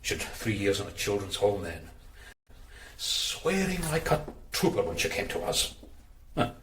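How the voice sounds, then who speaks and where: A man's voice: lowish voice, big pitch swings, measured pace, fairly bright tone, roomy sound. An actor playing a stiff, defensive middle-aged adoptive father in a radio drama, speaking in a prim, disapproving way.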